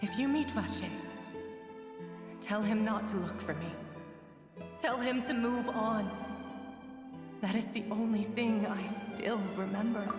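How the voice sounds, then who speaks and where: Echoing, slow, sorrowful water spirit. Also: female voice, medium pitch. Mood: grieving, resigned. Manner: wistful, formal, lingering phrasing. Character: sorrowful female water spirit, lovelorn, forgetful, gentle and wistful. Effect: ghostly reverb. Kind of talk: dramatic video game voice acting. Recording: long reverb, distant, echoing. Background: music.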